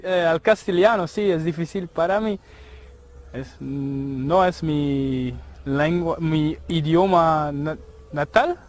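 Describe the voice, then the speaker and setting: Guy, spanish not his first language, slow and hesitant. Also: a little high. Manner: slow, hesitant, searching for words. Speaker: interviewee.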